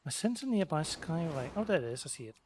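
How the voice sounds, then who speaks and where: A man giving casual let's play commentary, unhurried and half talking to himself as he plays. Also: fairly high pitch, sing-song, darkish tone, slight background noise. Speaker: gaming streamer.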